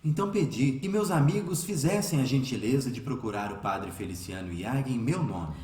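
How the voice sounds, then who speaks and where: A man playing a calm, devout, reasonable schoolteacher, speaking earnestly in a religious radio drama. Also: medium pitch, natural voice. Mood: calm, resolute. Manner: measured, serene, earnest. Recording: echoey room.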